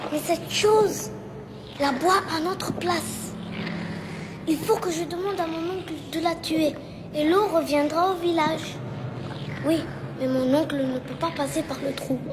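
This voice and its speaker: A performer voicing a tiny, brave, inquisitive young boy in an animated film, plain-spoken and determined. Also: sits high, background noise.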